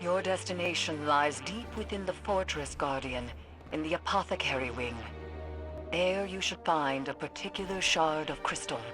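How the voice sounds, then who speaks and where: A woman's voice: low-pitched, over music, lightly acted. A woman playing a cryptic, solemn occult scholar. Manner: measured, cryptic, formal. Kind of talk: video game cutscene voice acting. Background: orchestral game score.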